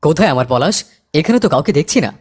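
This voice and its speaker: A man voicing an anxious village father in a cartoon, explaining things quickly and with alarm. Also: on the high side, faint music behind. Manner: fast, animated, alarmed. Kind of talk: cartoon voice acting.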